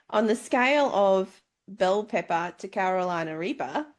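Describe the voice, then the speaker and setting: Brief: female podcast host, mid-pitched voice, playful book-club banter with some scripted narration. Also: lively intonation, slow pace. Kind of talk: casual book review chat with scripted sign-off.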